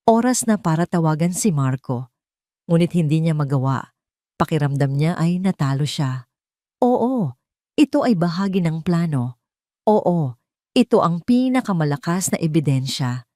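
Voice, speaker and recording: A Filipino woman reads a dramatic audio story in a fairly low voice. The recording is dry and close, with hardly any room sound around her narration. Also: a little mellow, no room sound.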